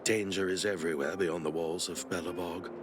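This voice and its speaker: An actor plays a grave old man in a video game. His voice is very deep, and he speaks in a formal, cautioning way. Ambient noise is clear behind him. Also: steady tone, fairly quick, close to an everyday voice.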